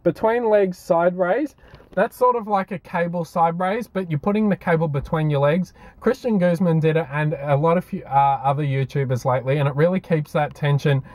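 A man's voice: fairly high pitch, moderate pace, darkish tone, no room sound. A man in his twenties with an Australian accent, chatting casually as a fitness vlogger. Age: 20s. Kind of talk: casual vlog chat and workout commentary.

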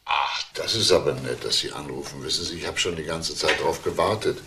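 An actor playing a patient, probing older police detective in a radio crime drama, calm and deliberate. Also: male voice, rumbling low, a little hiss or hum. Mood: reassuring.

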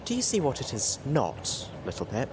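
A man voicing a refined young female pony singer, wistful and defiant, in a formal, measured way for a storybook reading. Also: mid-range voice, wildly swooping pitch, background noise, pitched above the performer's own voice. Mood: quietly defiant. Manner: formal, measured, matter-of-fact.

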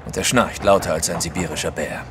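A man plays a polite, jovial Russian train passenger in a radio play. His fairly low voice chats away through clear train noise. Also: fairly even intonation, quick pace, close to an everyday voice. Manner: polite, chatty. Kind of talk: German audio drama acting. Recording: noisy train background. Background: train and vehicle noise.

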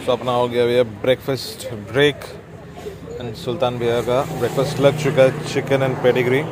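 A man's voice: mid-range voice, level tone, moderate pace. A man in his thirties from India chatting casually through a travel vlog while driving, mixing in English phrases, filmed on a camera held at arm's length. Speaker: vlogger. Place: inside a car and by a highway outdoors. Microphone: action camera at arm's length. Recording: loud road and engine noise. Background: car and road noise.

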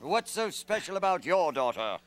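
A scheming royal advisor in a fairy-tale puppet comedy. The voice is very high and bright, officious and sardonic, stretching words with oily impatience. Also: male voice, sing-song, moderate pace. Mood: impatient.

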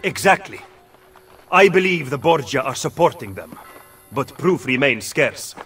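An earnest young Roman rebel. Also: male voice, mid-range voice, very animated, a little slow, fairly bright tone, slightly rough, faint music behind, full character voice. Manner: earnest, urgent. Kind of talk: video game cutscene dialogue.

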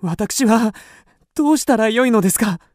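A man's voice: sits high, expressive, normal speed, darkish tone, no echo, studio quality. A man playing a lovestruck young samurai with earnest, old-fashioned formal speech in a radio drama. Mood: anxious. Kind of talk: Japanese radio drama acting.

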